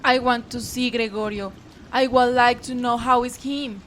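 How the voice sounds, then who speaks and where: Woman acting high-voiced worried mother. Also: steady tone, measured pace, slight background noise, natural voice. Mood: pleading. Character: worried mother longing to see her son.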